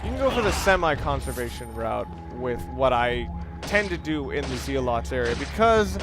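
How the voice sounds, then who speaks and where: A man giving casual, rambling walkthrough commentary on a video game, correcting himself and explaining tactics as he plays. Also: fairly high pitch. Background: video game music and sound.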